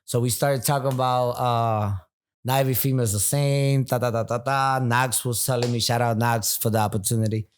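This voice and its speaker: A young man, a rapper guest talking in a relaxed, slangy way. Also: medium pitch, a little fast, slight background noise. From Puerto Rico, raised in Philadelphia. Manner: laid-back street slang. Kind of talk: casual chat. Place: bar.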